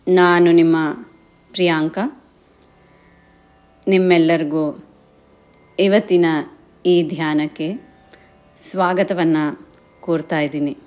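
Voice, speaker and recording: A woman guides a meditation in gentle, encouraging instructions, addressing listeners as friends and mixing in English words. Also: fairly low pitch, steady tone, slow pace, pauses often, dull audio. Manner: mixes English words and phrases into speech.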